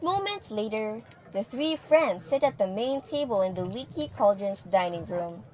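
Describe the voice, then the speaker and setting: A woman's voice: mid-range voice, expressive, normal speed, a crowd in the background. Woman narrator with a mid-range voice. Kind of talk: scripted dramatic narration.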